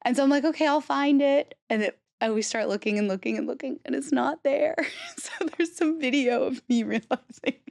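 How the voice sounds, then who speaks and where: A woman's voice: sits high, moderate pace, gravelly, few pauses, darkish tone, no echo, clean studio sound. Woman with a phlegmy post-cold voice. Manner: chatty, laughs often. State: getting over a cold, phlegmy throat. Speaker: podcast co-host. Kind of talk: casual chat.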